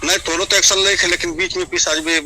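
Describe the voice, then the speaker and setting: Bihari man, fairly high and very piercing voice, defensive and pleading on a recorded phone call. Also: steady tone, fast, pushed and bright. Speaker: village watchman on a leaked phone call. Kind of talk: phone argument in dialect.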